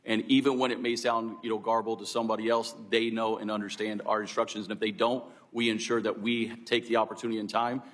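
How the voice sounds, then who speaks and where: A man's voice: on the low side, little pitch movement, fast talker, hardly any pauses, fairly bright tone. A middle-aged man giving formal unscripted testimony as an expert witness, explaining things courteously and step by step. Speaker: air traffic control expert witness.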